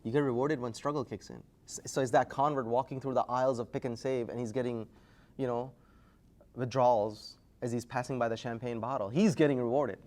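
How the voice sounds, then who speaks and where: Male lecturer, energetic classroom teacher, call-and-response style, middle-pitched. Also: brisk. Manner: energetic, quizzing the class, rising loudly to prompt answers. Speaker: Islamic studies teacher.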